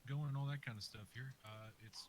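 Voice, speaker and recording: A man's voice: medium pitch, steady tone, a little fast, slight background noise. A man on a gaming live stream, muttering to himself as he works through his setup. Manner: mutters to himself while fixing tech. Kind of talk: casual live-stream talk.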